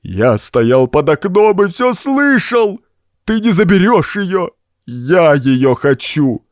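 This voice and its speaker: A hulking simple-minded brute, menacing and unhinged. The voice jumps up into a strained high shriek and bellows threats in slow, stretched bursts. It is a horror storybook performance with wild swings of pitch. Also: male voice, sing-song, no echo, clean studio sound, exaggerated voice. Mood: raging.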